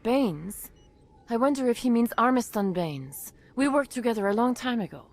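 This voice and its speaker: An actress playing a grateful, soft-mannered doctor in a video game, polite and helpful. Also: female voice, a little high, expressive, moderate pace, soft background music. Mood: thoughtful, helpful. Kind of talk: video game dialogue acting.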